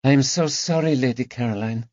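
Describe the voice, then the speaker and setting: A kind, tactful woman speaking soft, soothing words, put on by a male narrator who lifts his pitch a little. The recording is dry, with a slightly muffled top. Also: fairly quick, dry recording, lightly acted. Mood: gentle. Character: gentle, tactful woman comforting a friend.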